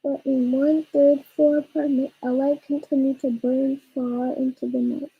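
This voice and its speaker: A young girl reading a story aloud haltingly, stumbling and repeating words. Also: high voice, level tone, vocal fry, narrow, tinny audio, background noise. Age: child. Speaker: child co-reader of a storytime.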